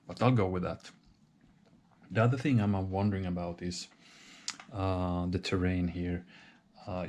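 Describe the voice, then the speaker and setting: A man thinking aloud while he sets up a solo wargame, talking hesitantly with many pauses and restarts. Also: low voice, darkish tone, slight background noise. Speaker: solo board game player.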